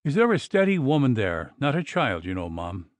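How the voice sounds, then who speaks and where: A man reading an audiobook, voicing a gruff, brusque old country doctor. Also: medium pitch, very animated, moderate pace, no room sound, lightly acted.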